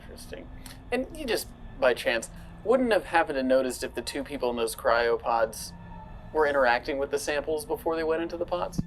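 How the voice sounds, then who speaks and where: A woman's voice: sits low, lively intonation, a little fast, faint music behind, poor audio. A woman speaking casually in character as a player in a tabletop roleplaying game.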